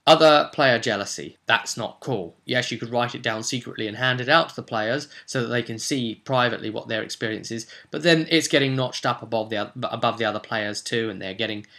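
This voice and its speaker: A man's voice: medium pitch, a little fast, gravelly, few pauses, bright, forceful tone. A man giving an informal advice monologue as a game master and host, chatty and emphatic, often putting rhetorical questions to the listener.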